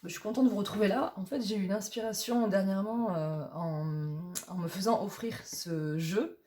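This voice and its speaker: A young woman from France with a hoarse voice from a cold, chatting casually and rambling with many fillers as she presents a tarot deck. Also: mid-range voice, moderate pace, mellow. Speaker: tarot reader. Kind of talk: casual tarot vlog chat.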